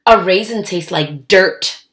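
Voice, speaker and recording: A woman reading a storybook aloud to children, expressive and emphatic, adding her own asides between the lines. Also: lowish voice, takes it slowly. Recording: echoey, roomy sound.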